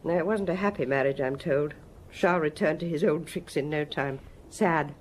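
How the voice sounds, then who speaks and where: A woman's voice: sits low, moderate pace, slight background noise, close to an everyday voice. A woman playing a shrewd, knowing, middle-aged nightclub owner in a radio detective drama. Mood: wry, curious. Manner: knowing, inquisitive. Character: shrewd, worldly fifty-year-old Parisian nightclub madame.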